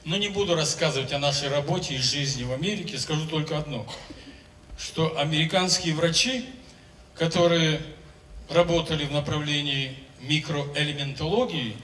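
A man's voice: fairly high pitch, fairly bright tone, a little hiss or hum. Older man speaking on a big stage. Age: 70s. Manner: measured, declamatory storytelling. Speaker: singer giving a testimonial on stage. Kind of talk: stage speech to an audience. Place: large concert hall stage. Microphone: handheld microphone. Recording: roomy hall sound from a distance.

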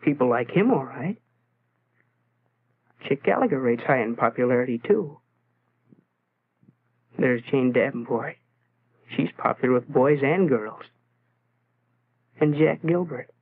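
Brooding lonely schoolboy, tinny old film. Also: male voice, medium pitch, lively intonation, measured pace, pauses often, husky. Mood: pondering. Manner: brooding, self-questioning. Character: lonely, self-doubting teenage schoolboy musing to himself. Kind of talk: 1940s educational film inner-monologue narration. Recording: tinny, noisy 1940s film soundtrack.

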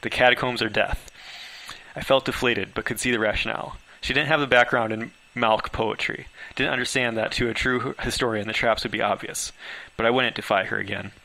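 A man's voice: mid-range voice, steady tone, fairly quick, sharp tone, low-quality recording. A man reading his own story aloud as amateur first-person narration, occasionally stumbling over words.

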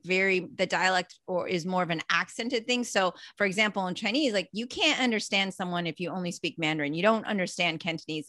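Bright-voiced woman hosting, close and dry. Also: medium pitch, no echo. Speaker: host of a panel discussion. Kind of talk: casual video panel hosting. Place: video call from a home room. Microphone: microphone on boom arm.

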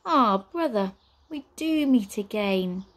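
A woman's voice: fairly high pitch, lively intonation, slow. A woman voicing a sly, mischievous witch in a storybook, drawling with airy, mock-sweet theatricality. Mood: sly delight.

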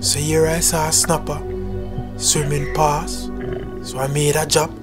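A man, calmly narrating his own spearfishing footage in a plain, matter-of-fact voice-over. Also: fairly high pitch, a little slow. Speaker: spearfishing vlogger. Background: loud new-age music.

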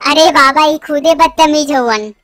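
A man voicing a cheeky young schoolboy in a comedy cartoon, with an impudent, teasing delivery and a pitched-up voice. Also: measured pace, noisy background. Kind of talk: amateur comic cartoon dubbing.

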